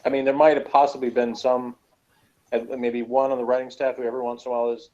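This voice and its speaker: A man's voice: mid-range voice, flat delivery, fast talker, dark tone. A man chatting casually as a panelist in a group review of a TV show, with a sarcastic, joking manner. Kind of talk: casual group discussion of a TV episode. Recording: dull lo-fi recording.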